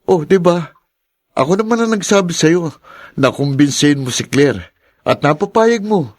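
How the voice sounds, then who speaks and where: A cheeky, higher-voiced scheming son. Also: male voice, lively intonation, a little slow, a little mellow, no room sound, studio quality. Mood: wheedling. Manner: wheedling, smug, teasing. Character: cheeky, flattering, greedy young adult son. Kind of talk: radio drama acting.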